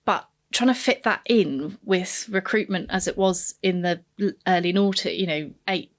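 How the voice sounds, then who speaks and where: A woman's voice: fairly low pitch, normal speed. A woman in her forties from Kenya, a podcast guest candidly reflecting on her career path. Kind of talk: podcast interview, telling her life story.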